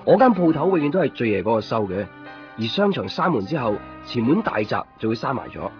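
Wistful young hong kong guy actor telling his story in cantonese, swingy pitch, guitar music under it. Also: sing-song, lightly acted. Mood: melancholy, reflective. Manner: reflective, measured storytelling. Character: wistful lovelorn young male shopkeeper narrator. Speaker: narrator and lead character. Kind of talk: first-person radio drama narration. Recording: muffled 1990s radio drama recording.

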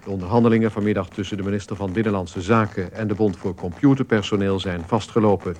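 An actor playing a sober, formal radio newsreader in a radio play, factual in delivery. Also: male voice, on the low side, steady tone, fast, darkish tone, slight background noise, close to an everyday voice. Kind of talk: news bulletin in radio play.

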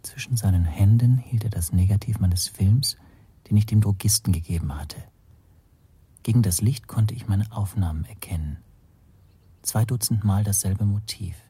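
First person radio play narrator, low dark voice, breathy and hushed. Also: male voice, normal speed, dry recording. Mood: hushed, tense. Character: male first-person narrator recalling his tense interrogation. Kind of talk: scripted radio drama narration.

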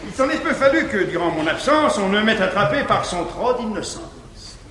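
Un bourgeois d'âge mûr, jaloux et dominateur, à la voix haute et perçante. Il déclame ses vers avec de fortes variations de ton, passant d'éclats sonores à des apartés murmurés. Enregistrement théâtral de 1959, avec la longue réverbération d'une salle et un léger souffle. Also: male voice, high-pitched, big pitch swings, moderate pace, full character voice. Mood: scheming. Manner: declamatory, swinging from loud outbursts to muttered asides. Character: jealous middle-aged male guardian, domineering and scheming. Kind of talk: 1950s stage verse acting. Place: large theatre stage.

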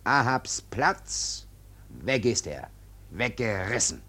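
A man acting a stern, anxious first mate of a whaling ship in a radio play, urgent and sharp-tongued. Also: mid-range voice, sing-song, slow pace, exaggerated voice. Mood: urgent, bitter. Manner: urgent, sharp-tongued, swinging from muttered to shouted. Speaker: actor in a radio drama.

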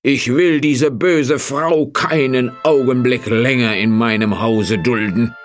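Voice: medium pitch, steady tone, soft background music, close to an everyday voice. A man voicing a gullible, easily outraged peasant husband in an emphatic storybook style. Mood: eager, pleading. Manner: emphatic, drawn-out, theatrical.

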